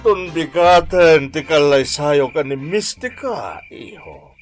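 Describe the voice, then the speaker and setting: A man's voice: fairly high pitch, animated, takes it slowly, faint music behind. A man with an Ilocano Filipino accent acting an elderly, well-off father fretting over his son in a radio comedy drama. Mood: concerned. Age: older.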